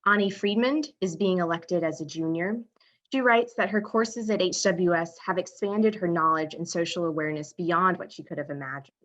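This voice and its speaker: A woman reads out student introductions in a steady, plain manner. Her voice sits somewhat low. The sound comes through a dull, muffled video call. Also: normal speed. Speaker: ceremony presenter.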